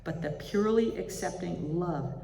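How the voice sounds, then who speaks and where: A woman with a low voice speaks as if leading a reflection at a chapel service. She reads in a measured, deliberate and contemplative manner. The room is echoey, with faint noise behind her. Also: gravelly, mellow. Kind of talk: reading aloud from spiritual writings to a congregation. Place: large indoor chapel or hall. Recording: echoey hall with reverb, faint background noise.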